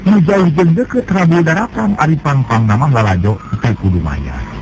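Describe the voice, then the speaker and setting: A man narrating a traditional folk tale, jumping up in pitch when he voices the characters. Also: moderate pace, a little hiss or hum, rough recording. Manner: lively storytelling, jumps in pitch for characters. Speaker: storyteller.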